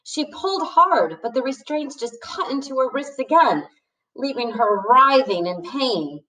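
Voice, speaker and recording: A woman's voice: high-pitched, expressive, measured pace, bright, forceful tone. A woman reading a novel aloud expressively as a storyteller. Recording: echoey room, distant from the mic.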